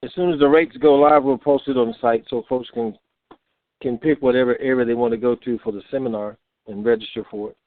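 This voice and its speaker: A man's voice: mid-range voice, fairly even intonation, fairly quick, no echo. Man on a dry, narrow phone line. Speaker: conference call co-host answering questions. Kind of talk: informal question-and-answer call.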